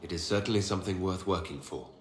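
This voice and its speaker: An actor playing a calm, supportive heroic leader in a fantasy video game, reassuring and considered. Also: male voice, deep voice, quick pace, close to an everyday voice. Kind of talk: video game dialogue acting. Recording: echoey, with clear background noise.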